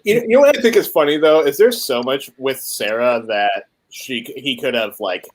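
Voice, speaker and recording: Animated speaker of ambiguous-sounding gender. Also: mid-range voice, moderate pace, slight background noise. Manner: exclamatory, informal. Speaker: livestream panel guest. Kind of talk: casual anecdotal chat.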